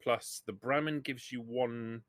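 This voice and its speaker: A man's voice: mid-range voice, fairly even intonation, a little slow, fairly bright tone, no room sound. A man acting as game master, explaining rules and options to players in a tabletop roleplaying game.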